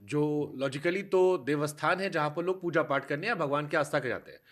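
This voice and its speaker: Chatty fairly high-voiced male interviewer. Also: brisk. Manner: long wandering questions, mixes English phrases, frequent hums of agreement. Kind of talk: casual interview questions.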